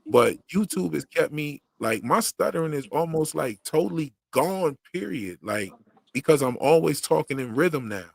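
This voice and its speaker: A man's voice: fairly high pitch, animated, normal speed, vocal fry, no echo. A man hosting his own channel's livestream, chatting casually in slangy, rhythmic talk. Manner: slangy, talks in a loose rhythm; says he once stuttered heavily. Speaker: YouTube channel host on a livestream.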